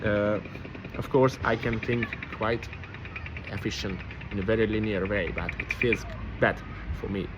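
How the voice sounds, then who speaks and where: A man's voice: fairly low pitch, steady tone, a little slow, dull audio. A man with a Hungarian accent, a non-native English speaker, thinking aloud in a rambling, reflective vlog, often stopping and restarting as he searches for words. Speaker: vlogger. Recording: noisy background with passing vehicles.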